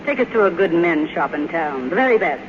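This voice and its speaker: An actress playing an imperious, deluded, aging silent-film star in a haughty, theatrical 1950s radio drama style. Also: female voice, on the low side, animated, a little fast, natural voice. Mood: imperious, needling. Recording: dull, noisy 1950s radio recording.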